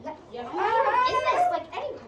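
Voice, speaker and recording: Very high-pitched girlish voice chattering in class. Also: sing-song, measured pace. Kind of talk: casual classroom chatter and reactions. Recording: echoey noisy room, distant mic.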